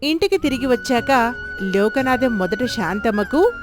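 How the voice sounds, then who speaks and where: A woman narrating a storybook tale in a measured, expressive way, her voice a little higher than usual for a woman. Soft music plays under her reading throughout.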